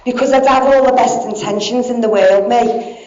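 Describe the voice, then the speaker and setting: A woman's voice: fairly high pitch, steady tone, moderate pace, darkish tone. A woman, giving a candid, blunt personal recovery talk with plenty of swearing. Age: 40s. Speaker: speaker in recovery. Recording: echoey dull hall sound.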